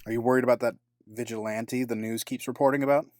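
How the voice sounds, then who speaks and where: An actor playing a cold, scheming middle-aged businessman villain in an audio drama, formal and measured with a sardonic edge. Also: mid-range voice, steady tone, darkish tone, no echo, studio quality, lightly acted. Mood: resolute, menacing.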